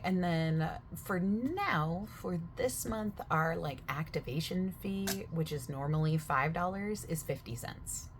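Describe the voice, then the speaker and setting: A young woman in a soft-spoken ASMR roleplay as a gym staff member, chatty and over-explaining with lots of fillers. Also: lowish voice, slight background noise.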